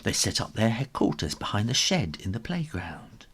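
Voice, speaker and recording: A man reading a children's story aloud in an expressive, animated style with conversational asides. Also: on the low side, normal speed, a little hiss or hum. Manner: expressive, with chuckles and spoken asides.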